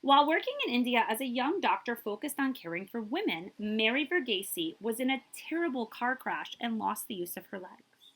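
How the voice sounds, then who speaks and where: A woman reads a picture book aloud to young listeners in a high-ish voice with a breathy, husky edge. Her delivery is emphatic and very melodic, stretching out important words and adding short asides of her own. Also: animated, moderate pace, few pauses, fairly bright tone. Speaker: librarian reading aloud to children.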